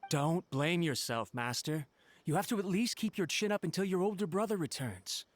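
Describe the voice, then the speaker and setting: An actor playing a loyal male servant, gentle and deferential. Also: sits high, expressive, normal speed, dry recording. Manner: soft-spoken, deferential. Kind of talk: game cutscene dialogue.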